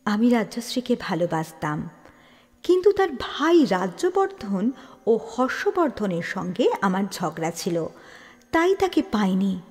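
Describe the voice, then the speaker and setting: A woman narrating a literary story in a dramatic, expressive manner, with deliberate pauses and emphasis. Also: medium pitch. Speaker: storyteller reading an audio story. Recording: long hall-like reverb on the voice.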